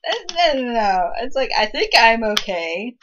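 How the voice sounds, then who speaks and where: A woman's voice: a little high, sing-song, measured pace, dull audio, background noise. A woman giving casual, critical commentary in a nitpicky, exasperated manner.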